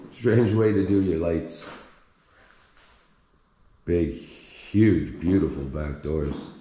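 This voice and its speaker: Man, deep and dark-toned, thinks aloud on a house walkthrough; roomy echo. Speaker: urban explorer vlogger. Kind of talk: casual walkthrough narration. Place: empty abandoned house indoors. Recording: echoey empty rooms, faint hiss.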